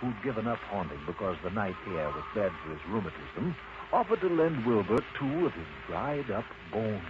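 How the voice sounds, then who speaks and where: A man narrating a scripted children's story in an expressive, dramatic way. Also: fairly low pitch, moderate pace. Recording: muffled lo-fi audio with clear noise.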